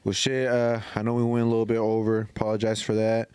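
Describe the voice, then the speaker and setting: A man's voice: fairly low pitch, monotone, fast, a little mellow, smooth. An American man in casual, slangy, blunt banter as a podcast co-host.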